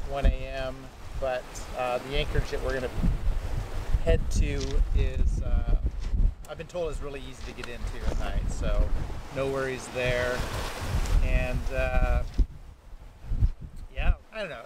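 A young man hosting a sailing vlog, chatting casually with lots of fillers from his boat at sea. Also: medium pitch. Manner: relaxed, halting, many fillers. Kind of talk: casual chat to camera. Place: on a sailboat at sea, outdoors. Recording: wind and engine noise at sea.

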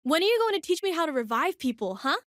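A woman's voice: very high voice, big pitch swings, fast, fairly bright tone, dry recording, studio quality, exaggerated voice. A woman voicing a cheeky, teasing young fighter in a video game, casual and playful. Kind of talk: scripted video game voice lines.

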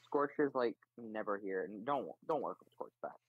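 A husky-voiced player chatting casually and insistently in a roleplay game over a voice call. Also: mid-range voice, moderate pace, no room sound. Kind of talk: casual gaming chat. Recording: dull, dry voice-chat audio.

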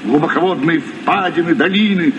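Actor as fairly high-voiced, formal castaway captain. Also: male voice, moderate pace, natural voice. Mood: approving. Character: knowledgeable, kindly-spoken castaway captain Robinson Crusoe. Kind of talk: Soviet radio play acting. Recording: dull, noisy, reverberant old radio recording.

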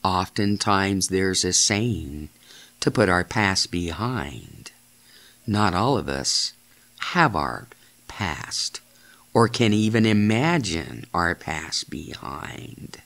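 Very slow, low-pitched, creaky man. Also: animated, fairly bright tone. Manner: deliberate, halting phrasing with repeated instructions. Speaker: guided imagery narrator. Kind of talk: slow guided meditation.

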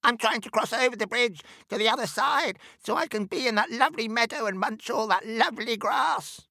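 A man's voice: very high voice, brisk, gravelly, bright, forceful tone, no room sound, studio quality, voice pushed up, full character voice. A man voicing a small, nervous young billy goat who bleats and pleads in a storybook. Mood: bleating.

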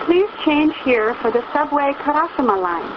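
A woman reading scripted bus announcements for tourists in a measured, formal way. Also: high voice, animated. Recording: muffled recording inside a noisy moving bus. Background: loud vehicle noise.

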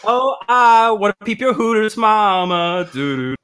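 A man's voice: sits high, expressive, measured pace, a bit bright, smooth. A man reading comic material aloud in a mock-earnest way, mixed with casual banter. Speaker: podcast panelist reading documents aloud.

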